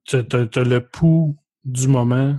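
A man, hosting a podcast and asking loose, relaxed questions. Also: medium pitch, slow, dry recording, studio quality. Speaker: podcast host. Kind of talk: casual interview talk.

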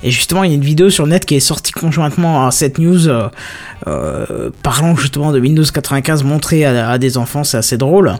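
A man hosting a live tech chat, his voice sitting a little high for a man. He talks in a loose, chatty way, tripping over words and circling back to repeat himself. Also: lively intonation, brisk. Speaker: podcast host. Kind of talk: casual tech news chat.

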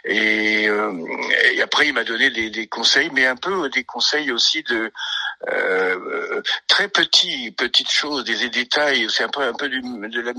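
An older man from Italy, a pianist, reminiscing in a radio interview, halting and searching for words with many fillers. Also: mid-range voice, pushed and bright, dry recording, rough recording.

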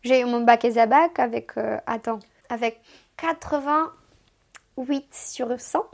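A woman's voice: on the high side, slow, vocal fry, slight background noise. A woman in her twenties from Moldova, a native Romanian speaker, telling her life story casually as a podcast guest, chatty and self-mocking, restarting sentences often. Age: 20s.

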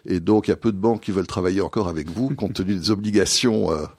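A man being interviewed as a company chief executive, answering in a measured way with frequent hesitations. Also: sits low, darkish tone, no echo. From born in USA, raised partly in Belgium. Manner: measured, many "euh" hesitations.

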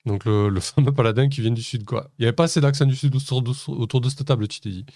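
A man acting as game master, improvising narration for a tabletop roleplaying game in a hesitant, halting way with repeated words. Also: mid-range voice, dark tone, no echo, studio quality. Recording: music playing under the voice.

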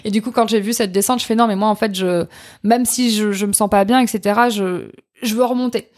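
A middle-aged woman, telling personal stories in an informal, expressive, candid way as an interview guest. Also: medium pitch, fairly quick. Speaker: podcast guest, coach. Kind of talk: personal storytelling in a conversational interview.

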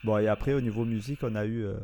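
A man's voice: fairly low pitch, fairly even intonation, racing, dark tone, faint music behind. A man hosting a casual podcast quiz, playful and teasing as he runs the game. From France. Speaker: podcast host and quiz master. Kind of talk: casual chat.

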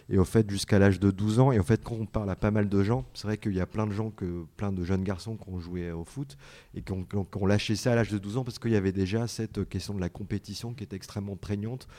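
A man's voice: lowish voice, fairly even intonation, fairly quick, warm tone. A man, an author and journalist, answering audience questions at a book talk, hesitant with many fillers and restarts. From France.

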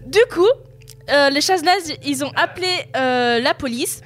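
A woman's voice: sits high, normal speed, a bit bright, soft background music. A woman co-hosting a joking evening radio show, teasing her co-hosts and telling a story between laughs. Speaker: radio co-host. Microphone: studio microphone.

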